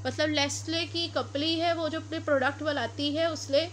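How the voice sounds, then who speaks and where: A woman's voice: high-pitched, steady tone, normal speed, a bit bright, a little hiss or hum. A woman giving a law lecture, explaining step by step and often stopping to correct herself. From Pakistan.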